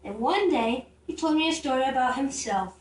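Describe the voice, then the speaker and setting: A female storyteller with a very high, childlike-pitched voice narrates a fable in a halting read-aloud way. The recording is roomy and distant, with faint noise behind the voice. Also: lively intonation. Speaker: storyteller in a puppet show.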